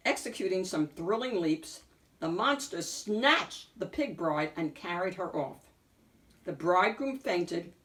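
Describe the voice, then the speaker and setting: A woman reading a picture book aloud as an expressive narrator, phrasing deliberately with emphatic swells. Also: on the low side, far from the mic. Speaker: picture book reader and host.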